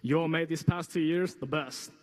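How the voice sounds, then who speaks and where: A man's voice: on the high side, fairly even intonation, moderate pace, a little mellow. Rallying male student in an echoing hall. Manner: rallying, enthusiastic. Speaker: student speaker. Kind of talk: rousing graduation speech. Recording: reverberant hall.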